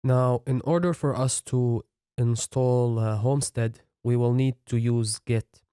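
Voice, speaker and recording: Man calmly walking through software setup. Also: mid-range voice, measured pace, clean tone, dry recording, clean studio sound. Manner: plain step-by-step explaining. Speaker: software tutorial instructor. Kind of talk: screen-recorded coding tutorial narration.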